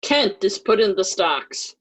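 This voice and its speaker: A woman with a husky voice reading stage directions as scripted narration. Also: medium pitch, animated, takes it slowly, a bit bright.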